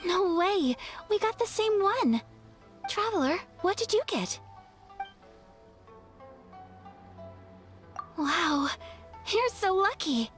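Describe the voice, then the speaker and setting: A woman's voice: extremely high pitch, big pitch swings, slow pace, exaggerated voice. An actress playing a polite, earnest young noble lady in a video game, with a refined, slightly shy manner. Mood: delighted. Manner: refined, courteous, soft-spoken formality. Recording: music under the voice.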